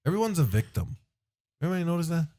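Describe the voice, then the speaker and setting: A man's voice: on the high side, sing-song, racing, mellow. A man hosting a casual podcast, teasing his guests and asking pointed questions. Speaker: host.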